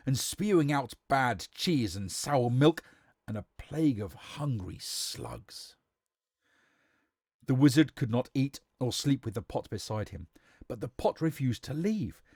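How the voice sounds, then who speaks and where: A man's voice: mid-range voice, darkish tone, no room sound, studio quality. A man reading a storybook aloud as a theatrical, emphatic narrator.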